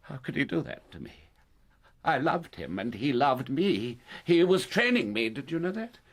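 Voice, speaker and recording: An actor playing a deluded, obsessive murderer in a radio play, theatrical and emotional. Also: male voice, medium pitch, sing-song, moderate pace. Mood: wounded, pleading. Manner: theatrical, emotional, swinging between hushed denial and outburst. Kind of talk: radio drama acting.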